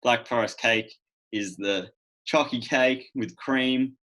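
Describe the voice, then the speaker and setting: A man's voice: medium pitch, takes it slowly, bright, forceful tone. A man with an Australian accent chatting casually as a guest, easygoing and colloquial. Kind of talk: casual chat.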